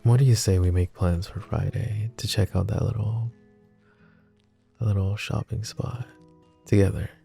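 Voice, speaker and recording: A man playing a flirty, possessive mafia boss who is smitten and vulnerable, speaking in soft, teasing, intimate ASMR roleplay. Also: lowish voice, fairly even intonation, moderate pace, pauses often, soft background music. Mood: wry, affectionate. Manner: soft teasing banter with breathy chuckles.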